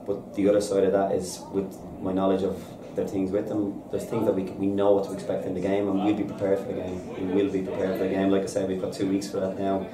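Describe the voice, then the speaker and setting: A man's voice: low voice, completely flat delivery, recorded from a distance, noisy background. An Irish man in his thirties, a football manager giving an upbeat post-match interview, with "listen" in many of his answers. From Ireland. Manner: upbeat, fast and repetitive, says "listen" a lot. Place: bare indoor room at a stadium. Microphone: reporter's handheld recorder held out. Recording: echoey room, off-mic, crowd behind.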